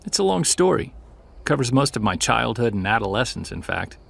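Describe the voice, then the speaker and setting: A man's voice: mid-range voice, sing-song, brisk, vocal fry, husky, heavily acted. A man acting as a witness who recounts an unsettling childhood memory in a hesitant, conversational audio drama style. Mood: nostalgic, reflective. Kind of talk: naturalistic audio drama storytelling.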